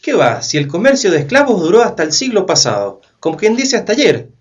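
A man's voice: high-pitched, sing-song, fairly quick, breathy edge, lo-fi sound, full character voice. A man playing an impassioned, argumentative historian in a community radio play, explaining emphatically and rhetorically. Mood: indignant, didactic. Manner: emphatic, rhetorical questions, didactic. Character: impassioned male historian denouncing slavery, argumentative.

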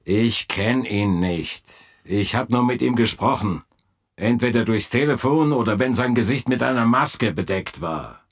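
An actor playing an uneasy, evasive asylum orderly being questioned in a radio play. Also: male voice, mid-range voice, animated, a little slow.

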